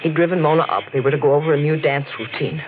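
A woman acting an intense, troubled, secretive young woman in a 1940s radio melodrama, with deliberate, dramatic phrasing. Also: sits low, natural voice. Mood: somber recounting. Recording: faintly noisy, narrow-band old radio recording.

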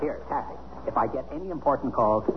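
An actor playing a formal, sarcastic, put-upon husband in a radio comedy. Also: male voice, medium pitch, expressive, quick pace, close to an everyday voice. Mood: sarcastic, huffy. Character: stuffy, gentlemanly, put-upon husband, a divorce attorney. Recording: muffled, noisy 1970s radio broadcast.

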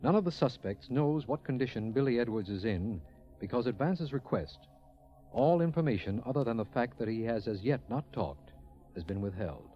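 A man playing a brisk, official district attorney in a 1940s radio drama, speaking formally. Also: medium pitch, lively intonation, faint music behind, natural voice. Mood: formal, urgent. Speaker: district attorney and recap narrator. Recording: muffled 1940s radio recording.

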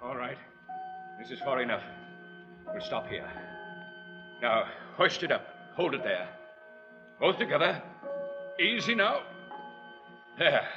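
An actor playing an imperious rich patriarch giving orders, with a creaky voice, in an old radio drama. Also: male voice, mid-range voice, very animated, slow pace, pauses often, big-room sound, music in the background. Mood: commanding, strained. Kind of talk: 1940s radio drama acting. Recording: muffled old radio recording.

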